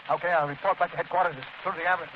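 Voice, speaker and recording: A man's voice: fairly high pitch, steady tone, rapid-fire, lightly acted. A man playing a policeman reporting to his chief in a 1930s radio play. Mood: matter-of-fact. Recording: muffled, hissy 1930s radio recording.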